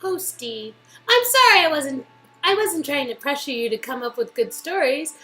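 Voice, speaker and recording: A woman voicing a caring young woman who is comforting her boyfriend, in a soft, reassuring way. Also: high-pitched, animated, moderate pace, voice pushed down. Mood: tender. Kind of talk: reading game dialogue aloud.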